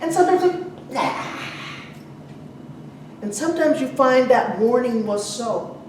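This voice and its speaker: A woman preaching a sermon to a congregation in a conversational way, putting questions to her listeners and pausing for emphasis. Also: high-pitched, lively intonation, darkish tone. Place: large echoey church room. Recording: very echoey, distant mic, some noise.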